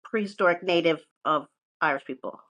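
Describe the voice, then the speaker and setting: A woman's voice: lowish voice, brisk, a bit bright, no room sound. A woman co-hosting a podcast, partly reading from notes and chatting casually, with sweary, self-mocking asides. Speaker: podcast co-host presenting research.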